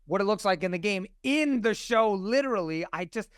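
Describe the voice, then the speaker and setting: Guy chatting on a podcast, smooth clear voice, excited and earnest, really dry sound. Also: high-pitched, fairly quick, dry recording. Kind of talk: casual podcast discussion.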